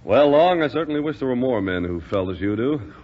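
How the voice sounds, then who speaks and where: An actor playing a measured, encouraging air force captain in a 1940s radio drama. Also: male voice, medium pitch, lively intonation, lightly acted. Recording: muffled 1940s radio recording.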